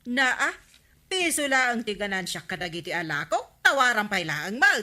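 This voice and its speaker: A bright, cutting female voice as an exasperated village councilor minding a shop. The character scolds and grumbles with a short temper, in the broad manner of a comedy radio drama. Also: medium pitch, big pitch swings, moderate pace. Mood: scolding. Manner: scolding, complaining.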